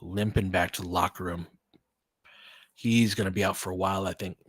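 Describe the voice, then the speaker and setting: A man's voice: sits low, fairly even intonation, brisk, husky, no room sound. A man giving casual live basketball play-by-play and fan analysis, talking conversationally and thinking aloud in fragments.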